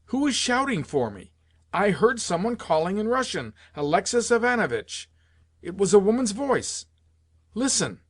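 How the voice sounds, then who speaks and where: Man playing excitable young man, pitched up high. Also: normal speed, few pauses, no room sound, clean studio sound, natural voice. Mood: startled. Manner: fervent, exclamatory, broken repetitions. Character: excitable, bitter young man, feverishly jealous.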